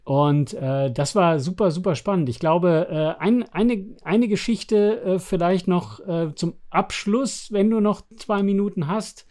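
A man hosting a casual podcast interview, talking haltingly and repeating words as he forms his questions. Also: sits high, darkish tone.

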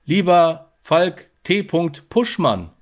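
A man's voice: high-pitched, sing-song, slow. A man co-hosting a podcast, explaining things in a casual, conversational style. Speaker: podcast co-host.

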